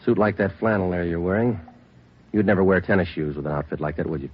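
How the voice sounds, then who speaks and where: An actor in a 1950s radio police procedural playing a dry, relentless detective who questions in a clipped, methodical, deadpan way. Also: male voice, low-pitched, fast talker, breathy edge. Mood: cool, deadpan. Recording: dull lo-fi vintage radio recording.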